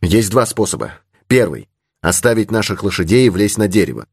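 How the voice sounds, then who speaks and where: A man's voice: lowish voice, very animated, brisk, gravelly, husky, no room sound, clean studio sound, pitched above the performer's own voice, heavily acted. A man voicing a courteous, cautious young horseman protecting a lady in an adventure audiobook. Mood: urgent, cautious. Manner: courteous, earnest. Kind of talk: audiobook character in adventure novel.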